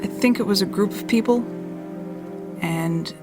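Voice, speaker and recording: A woman playing a shaken eyewitness in an audio drama, speaking haltingly and repeating words as she recalls events. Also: mid-range voice, music in the background, natural voice. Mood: shaken, disbelieving. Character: shaken female eyewitness recalling a night drive. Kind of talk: audio drama testimony monologue.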